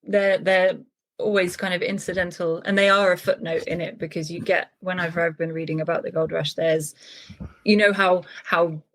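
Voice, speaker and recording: A woman's voice: on the low side, level tone, normal speed, a bit bright. A woman novelist talking about her writing in a casual interview, thoughtful and full of small restarts. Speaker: novelist guest.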